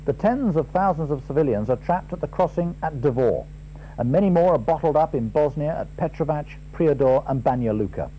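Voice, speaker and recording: British male newsreader, soft warm timbre, slightly raised pitch, formal bulletin delivery. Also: animated, brisk, a little hiss or hum, poor audio. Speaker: news presenter. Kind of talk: formal scripted news reading.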